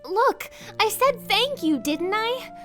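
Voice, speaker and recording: A woman's voice: very high voice, animated, slow, fairly bright tone, exaggerated voice. A woman voicing a sulky, proud teenage schoolgirl in a wheelchair for a fan dub, pouting and defensive. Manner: pouty and defensive, stubborn. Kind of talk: fan dub of a visual novel. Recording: music playing underneath.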